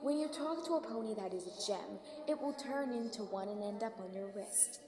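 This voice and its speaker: A woman's voice: fairly high pitch, expressive, normal speed, reverberant, long reverb tail, background noise. Woman as smirking, jaded cave-dwelling pony. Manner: taunting, jaded. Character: smirking, dismissive female pony in demon caves. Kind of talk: amateur fantasy audio drama.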